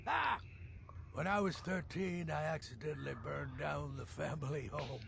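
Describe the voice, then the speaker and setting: An actor playing a cranky, boastful old mage with a gravelly voice in a fantasy game. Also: male voice, fairly high pitch, normal speed, a crowd in the background. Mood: boastful, wry. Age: older. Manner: boastful, theatrical. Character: cranky old mage uncle, boastful, morbid, aloof loner.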